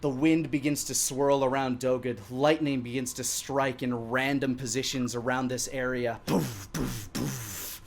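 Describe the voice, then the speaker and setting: A man's voice: mid-range voice, fairly even intonation, unhurried, vocal fry, background noise. A man in his thirties running a tabletop game, narrating dramatically and theatrically as dungeon master. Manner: theatrical, with acted sound effects.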